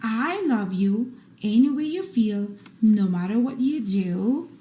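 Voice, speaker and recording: A woman hosting a read-aloud for children, reading picture books in an expressive, deliberate bedtime storytelling style. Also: a little high, highs cut off. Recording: roomy echo, faint background noise.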